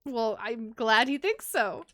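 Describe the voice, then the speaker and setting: A woman's voice: on the high side, expressive, slow pace, a bit bright, no echo. A woman streamer reading a visual novel aloud and reacting casually, expressively, with some swearing. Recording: game music behind the voice. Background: video game music.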